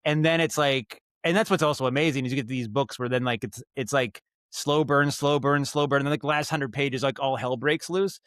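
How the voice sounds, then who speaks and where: A man speaking as a guest in a casual interview, thinking aloud haltingly and circling back on his thoughts. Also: mid-range voice, steady tone, a bit bright, dry recording, studio quality. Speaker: podcast guest, writer and director of audio dramas.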